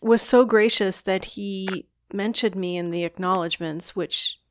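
A woman's voice: mid-range voice, measured pace, no echo. A woman hosting a casual conversation, effusive and openly emotional in her praise. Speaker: host.

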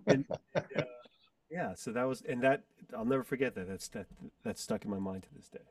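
A man's voice: medium pitch, lively intonation, creaky, no room sound. Forties male guest, rambling storyteller, dry sound from a distance. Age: 40s. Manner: rambling, reminiscing, frequent pauses to recall. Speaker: guest musician and entrepreneur. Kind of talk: casual interview storytelling.

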